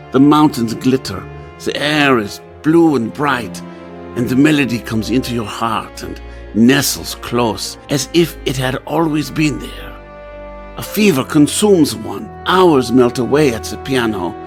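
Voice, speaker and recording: An actor playing a haunted, rapturous composer, delivering a poetic, theatrical radio play monologue. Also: male voice, mid-range voice, expressive, a little slow, soft background music. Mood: wistful, rapturous. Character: haunted, rapturous male composer narrating his torment. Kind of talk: dramatic first-person radio play monologue.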